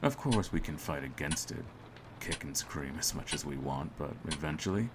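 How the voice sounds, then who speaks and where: A man playing a cold, smug hitman in an audio roleplay, speaking in a theatrical, menacing and deliberate way. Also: rumbling low, animated, very noisy. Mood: smug menace. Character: cold, smug male hitman holding his target at gunpoint. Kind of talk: scripted ASMR audio roleplay.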